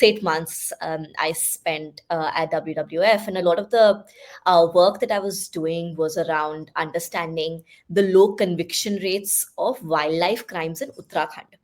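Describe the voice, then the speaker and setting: A woman's voice: lowish voice, normal speed. A young woman with an Indian English accent, a podcast guest talking through her path, with frequent fillers and asides. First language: Hindi. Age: young adult. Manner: thoughtful, with many fillers and asides. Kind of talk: conversational interview.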